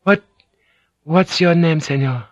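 A woman's voice: sits low, dry recording, dull audio, pitched above the performer's own voice. A male narrator voicing a distressed, polite young Japanese noblewoman who stammers and halts. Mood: wary. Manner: halting, stammering, courteous.

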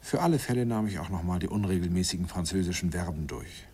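A middle-aged storyteller looking back on his youth in a 1950s radio play. The voice is low and reflective, with noise from an old recording behind it. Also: expressive, quick pace, a little mellow. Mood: wistful, amused. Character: middle-aged man wistfully recalling his youthful journey abroad. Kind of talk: first-person radio play narration, 1950s.

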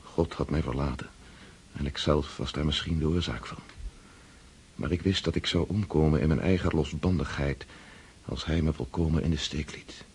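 An actor playing a brooding, tormented count who narrates his inner doubts in a radio drama, sombre and measured. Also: rumbling low, darkish tone, a little hiss or hum. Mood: brooding, bitter.

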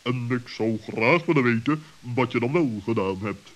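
A stern old-radio owl, played by a man, grave and suspicious yet caring. He speaks deliberately at a medium pitch, and the recording is dull and dated. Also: natural voice. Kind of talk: 1960s children's radio play acting.

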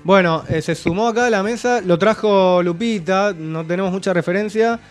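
A man's voice: sits high, moderate pace, faint music behind. A man with an Argentine accent hosting a comic radio show in a studio, dry, hesitant and mock-suspicious. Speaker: radio host. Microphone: studio microphone.

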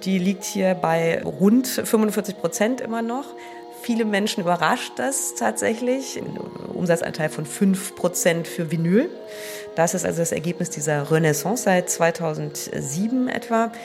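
Low-voiced woman explaining figures factually. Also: moderate pace, creaky, over music. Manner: factual, precise. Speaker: music industry association spokesperson, interviewee. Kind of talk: explanatory interview talk.

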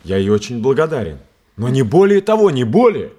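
An actor playing a polite but defensive young stage actor in a Russian radio play, earnest and protesting. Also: male voice, medium pitch, sing-song, brisk, dark tone. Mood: explaining.

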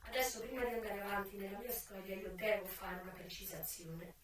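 An actress playing a worldly, frank and wry American brothel madam, telling her life in a dramatic radio theatre monologue that moves between hushed confiding and projected declaiming. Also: mid-range voice, brisk, lightly acted. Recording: echoey, distant stage sound with music. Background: music.

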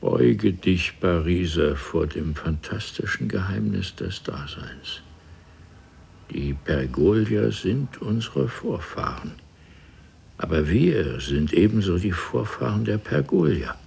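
An actor playing a solemn, mystical time traveller with a husky, gravelly voice and a grandiose, incantatory delivery in a radio play. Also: male voice, deep voice, sing-song, takes it slowly, darkish tone, a little hiss or hum, exaggerated voice. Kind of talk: theatrical radio play acting.

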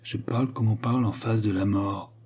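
Grave, jealous noble lover for a historical audiobook, played by a man: solemn, declamatory, lowish, husky. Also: fairly even intonation, moderate pace, mellow. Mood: grave accusation. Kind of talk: dramatized historical novel reading.

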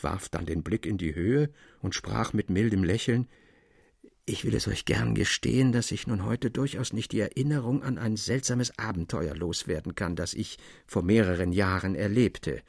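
A man's voice: fairly low pitch, gravelly, slightly rough, no room sound. A man narrating a literary tale for a radio production, expressively acting out the quoted speech as he goes. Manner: expressive, theatrical delivery.

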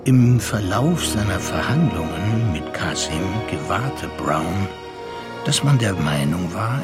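A man narrating a literary radio play in a measured storytelling manner. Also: deep voice, heavily acted. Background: music.

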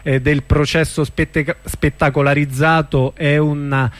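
A man's voice: fairly high pitch, level tone, measured pace, no room sound. A man hosting a radio show, interviewing a guest in a conversational way.

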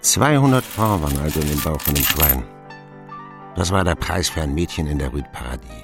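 A man's voice: extremely low pitch, a little fast, gravelly, music in the background, heavily acted. An actor playing a middle-aged man who wryly recalls his boyhood as narrator of a radio play. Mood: reminiscing. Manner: wry, expressive storytelling. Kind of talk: scripted first-person narration, radio play.

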